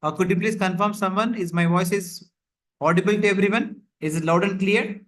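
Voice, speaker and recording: A man's voice: sits high, fairly even intonation, a little fast, fairly bright tone. A man teaching a live online class over a video call, explaining in a repetitive way full of fillers. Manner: repetitive, filler-heavy explaining with frequent "like, you know". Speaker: instructor. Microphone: computer mic on a video call.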